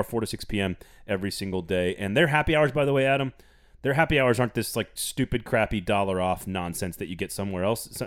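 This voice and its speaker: A man hosting a casual sports podcast, playful and teasing, reading an ad with gusto. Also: on the low side. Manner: playful, teasing, reads ads with enthusiasm. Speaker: podcast host.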